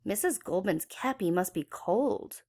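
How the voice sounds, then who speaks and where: A woman's voice: medium pitch, expressive, unhurried, a little mellow. A woman voicing a caring, fretful young girl in a children's storybook, speaking earnestly and expressively. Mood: worried.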